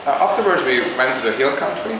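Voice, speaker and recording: A man from Hungary, answering interview questions in a halting, casual way as he searches for words. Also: mid-range voice, sing-song, noisy background. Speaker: interviewee, visiting traveler. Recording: very echoey with clear background ambience.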